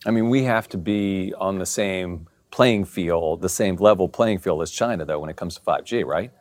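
A man's voice: low voice, moderate pace, no room sound. A man from Maryland interviewing a guest, asking leading questions and seeking agreement.

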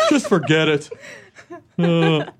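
A man's voice: on the high side, expressive, very slow, over a noisy crowd. A man hosting a casual talk show, asking questions and joking around. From Kentucky and Tennessee. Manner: joking, interviewing. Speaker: host. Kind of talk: casual chat.

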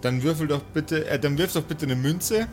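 A man's voice: a little high, moderate pace. A man improvising narration as game master of a tabletop game, with mock-formal storytelling phrasing. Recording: music bed behind the voice.

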